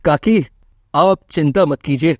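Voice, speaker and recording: A man voicing a kind, selfless, poor village husband in a cartoon moral story, earnest and gentle. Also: fairly high pitch, measured pace, no room sound, studio quality, natural voice. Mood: hesitant, kind.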